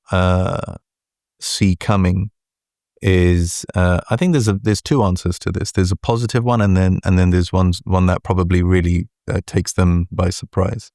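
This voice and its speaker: A man with a deep voice answering interview questions as a guest, measured and deliberate, often checking in with "right?". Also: a little mellow, no echo, studio quality. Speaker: venture capital partner, guest.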